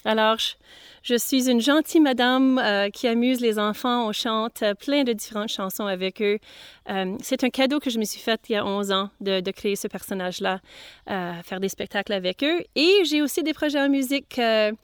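A woman's voice: on the high side, smooth, no echo, clean studio sound. A middle-aged woman from Manitoba with a Franco-Manitoban French accent, a singer-songwriter introducing herself casually and hesitantly between songs. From Manitoba, Canada. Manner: unhurried and hesitant, many pauses and "euh"s.

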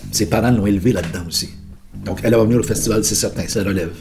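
A man's voice: fairly low pitch, racing. A man talking in a casual interview, explaining his ideas earnestly and thinking aloud as he goes. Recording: guitar music playing behind the voice.

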